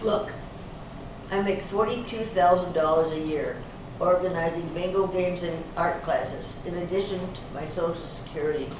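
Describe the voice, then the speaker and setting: A woman playing a feisty, indignant older suspect in an amateur readers theater reading, plain-spoken and slightly halting. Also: lowish voice, natural voice. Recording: very echoey hall, distant mic, noisy.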